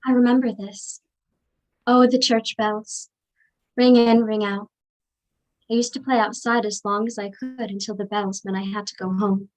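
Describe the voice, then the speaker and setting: A woman's voice: mid-range voice, fairly even intonation, moderate pace, darkish tone, natural voice, rough recording. A woman playing a wistful daughter reliving childhood, speaking lyrical, chant-like lines in a staged play reading. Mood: dreamy, nostalgic. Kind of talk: poetic stage monologue.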